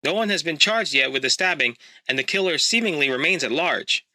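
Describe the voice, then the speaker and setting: An actor playing a TV news anchor delivering reports with crisp broadcast delivery in an audio drama. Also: male voice, fairly high pitch, expressive, fairly quick, pushed and bright, dry recording. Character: male TV news anchor, polished.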